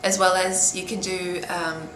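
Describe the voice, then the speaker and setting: A low-voiced Australian woman answers interview questions informally, her pitch staying fairly flat. She is recorded in a roomy space with clear background noise. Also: unhurried.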